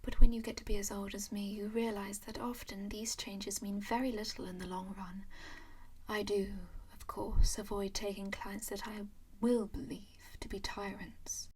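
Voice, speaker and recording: A woman voicing an ancient, genteel female vampire in an ASMR roleplay, whispering softly and dotingly in an unhurried way. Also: medium pitch, level tone, natural voice. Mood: soothing. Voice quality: whispered, breathy.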